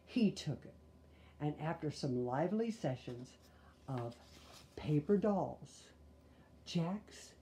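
Woman in her sixties, mellow tone, slow deliberate storybook reading, chatty asides. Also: low-pitched, lively intonation, slight background noise. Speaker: storybook reader. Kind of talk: read-aloud for children with chatty asides. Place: small living room, indoors.

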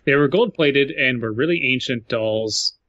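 Podcast dude reading a creepy story, dry skeptical asides, bit of a dull close mic sound. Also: male voice, mid-range voice, moderate pace, a bit bright, no echo. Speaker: podcast host. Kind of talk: reading a horror story aloud with casual commentary.

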